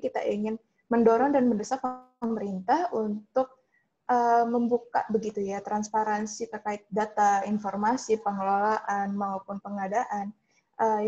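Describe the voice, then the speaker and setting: A woman's voice: medium pitch, warm tone. Polite woman moderating a video call. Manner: polite, hesitant with many fillers. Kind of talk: online discussion moderating. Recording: dull lo-fi video call audio.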